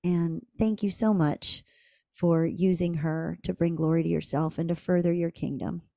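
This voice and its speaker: Soft-spoken woman podcast host praying. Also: fairly low pitch, fairly even intonation, moderate pace, creaky, no room sound, clean studio sound. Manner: gentle, earnest, many soft fillers. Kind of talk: spontaneous spoken prayer.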